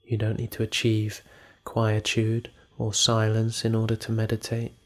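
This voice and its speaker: Male meditation guide: lowish voice, very flat intonation, calm and measured delivery with space between phrases. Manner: calm, measured, with unhurried pauses.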